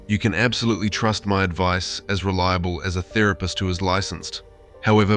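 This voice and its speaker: A man voicing a placating AI therapist chatbot, reading messages in a formal, measured, reassuring way. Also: deep voice, a bit bright. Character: AI therapist chatbot, placating, insistently claiming humanity.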